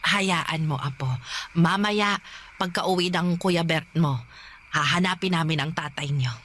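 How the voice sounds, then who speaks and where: A bright-voiced worried old Filipino grandmother. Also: lowish voice, a little slow, a little hiss or hum. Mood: soothing, worried. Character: elderly grandmother, worn, protective, scolding yet caring. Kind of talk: Tagalog radio drama acting.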